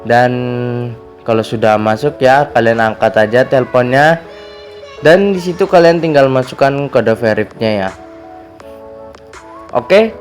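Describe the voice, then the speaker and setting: Casting: male tutorial host, mid-pitched slightly hoarse voice, chatty casual delivery, music underneath. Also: a little slow, pauses often. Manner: chatty, repetitive verbal tags. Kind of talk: casual screen tutorial narration.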